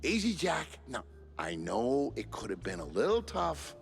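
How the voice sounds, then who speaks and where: A man's voice: medium pitch, wildly swooping pitch, measured pace, creaky, slightly rough, full character voice. A man voicing a sheepish, placating sidekick in a cartoon game, soothing and downplaying. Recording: long reverberant hall, faint music.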